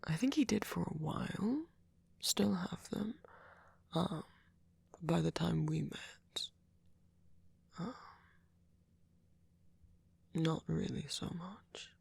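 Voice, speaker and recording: A man playing a gentle, centuries-old vampire boyfriend in an ASMR roleplay, speaking softly in a breathy, husky half-whisper with a hesitant, comforting manner. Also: a little high, animated, slow, long pauses, dry recording, heavily acted. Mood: earnest, reassuring. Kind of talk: ASMR roleplay, soft intimate monologue.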